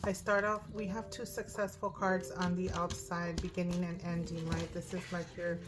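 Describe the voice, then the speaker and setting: A hoarse woman reading tarot cards aloud, thinking out loud and often asking "right?". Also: on the low side, flat delivery, normal speed, very noisy. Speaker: tarot reader. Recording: music in the background.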